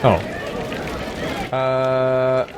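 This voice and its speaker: A man's voice: medium pitch, completely flat delivery, very slow, over a noisy crowd, very noisy. A man doing live roleplay commentary on a video game, switching between theatrical interjections and hesitant asides. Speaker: game streamer.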